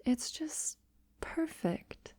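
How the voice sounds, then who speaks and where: A refined, devoted female vampire, acted by a woman speaks slowly and intimately, often dropping to a whisper. Her voice is dark and velvety, recorded very close and dry. She addresses her lover with formal tenderness, in the style of a romantic ASMR audio roleplay. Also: no echo. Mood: thoughtful, gentle. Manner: soft, slow, breathy delivery slipping into whispers.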